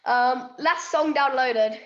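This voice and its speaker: A young woman hosting an interview series, asking quick upbeat questions with short reactions. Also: high-pitched, a bit bright. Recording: echoey, dull lo-fi sound.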